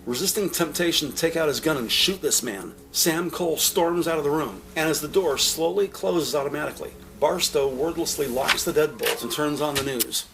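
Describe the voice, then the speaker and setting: A man's voice: on the high side, normal speed, gravelly, hardly any pauses, music in the background, poor audio. A man narrating a scripted audio drama with dramatic, present-tense storytelling.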